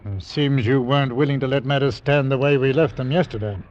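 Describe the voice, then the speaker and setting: An actor playing a gruff, cautious ship captain in a 1940s radio drama, with clipped speech. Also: male voice, medium pitch, fairly quick, background noise, natural voice. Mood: brusque. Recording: dull old radio recording.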